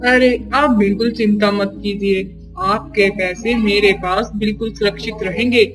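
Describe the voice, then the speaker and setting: An actor playing a sly, greedy male merchant in a Hindi moral-story cartoon, smooth and ingratiating in manner. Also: medium pitch, steady tone, moderate pace, natural voice, rough recording. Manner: ingratiating in company, scheming when alone. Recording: music behind the voice.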